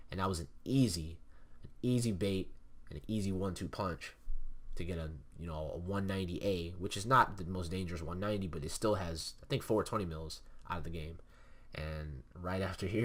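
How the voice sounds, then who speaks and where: A young man with a stuffy nose, casually explaining flight tactics over replayed gameplay footage, with many fillers. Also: low voice. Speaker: gameplay commentator.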